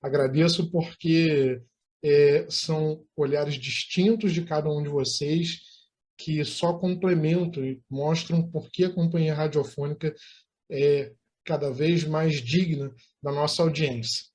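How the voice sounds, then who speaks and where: A man's voice: a little high, steady tone, a little slow, darkish tone. A man with a Brazilian accent hosting an interview in formal, florid phrasing. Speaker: interview host.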